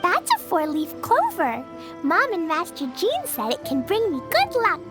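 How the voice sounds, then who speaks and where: An actress voicing a sweet, innocent, excitable little girl in a video game, childlike and earnest. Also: very high voice, measured pace, over loud music, full character voice. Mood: shy, proud. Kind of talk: English video game voice acting.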